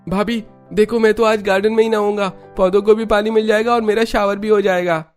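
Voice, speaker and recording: Man as a high, flat-toned cheeky young man. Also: fairly quick, faint music behind, natural voice. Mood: breezy. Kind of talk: Hindi cartoon story dialogue.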